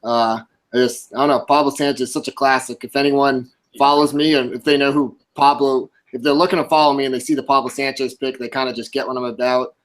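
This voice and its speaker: A man's voice: medium pitch, level tone, fast, rough recording. A man in his twenties, a blogger guesting on a podcast, talking casually, laughing easily and saying "like" a lot. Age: 20s. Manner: chatty, laughs easily, lots of "like".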